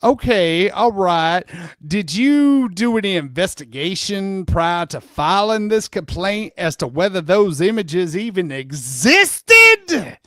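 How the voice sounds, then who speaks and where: A man's voice: high voice, sing-song, slow pace, few pauses, pushed and bright, no room sound, clean studio sound, exaggerated voice. A man playing a persistent, polite lawyer questioning a witness in a comic deposition reading, methodical and placating, repeating himself as he presses. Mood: patient, persistent.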